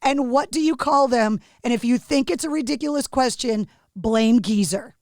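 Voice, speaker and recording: A woman hosts a podcast interview with a raspy, fairly high voice. She is chatty and self-deprecating, and she is recorded close and dry, with no room sound. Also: moderate pace, darkish tone, no echo. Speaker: podcast host. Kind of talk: casual interview chat.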